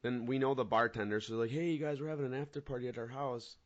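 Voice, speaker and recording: A man's voice: medium pitch, fast. A man in his mid-twenties co-hosting a casual chat, telling rambling stories with laughs. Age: 20s. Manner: rambling, animated storytelling with laughs. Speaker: podcast co-host. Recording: dull lo-fi audio.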